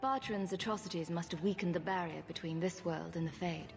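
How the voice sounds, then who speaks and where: A woman playing a composed, wry adventurer in a fantasy video game, speaking in a measured way. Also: fairly low pitch, vocal fry, darkish tone, faint music behind. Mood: dry, resolute.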